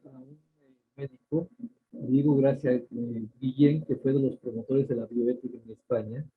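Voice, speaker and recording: An older man with a Mexican accent, a doctor answering interview questions in a measured way, pausing often and restarting his sentences. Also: mid-range voice, fairly even intonation, breathy edge. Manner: measured, many hesitations and restarts. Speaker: ophthalmologist guest. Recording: tinny phone-like line.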